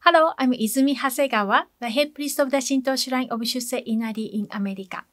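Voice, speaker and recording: A middle-aged woman with a Japanese accent, a native Japanese speaker, giving a measured, polite explanatory talk to camera as a priest. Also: fairly high pitch, a bit bright, dry recording. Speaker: Shinto head priest, video host. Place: small indoor curtained backdrop, close dry sound.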